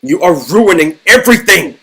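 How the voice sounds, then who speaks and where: A man's voice: high voice, big pitch swings, moderate pace, pushed and bright, husky, voice pushed up, exaggerated voice, poor audio. A man voicing a menacing, cold villain boss who can turn snarling and frantic, in a dramatic game dialogue reading. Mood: seething anger.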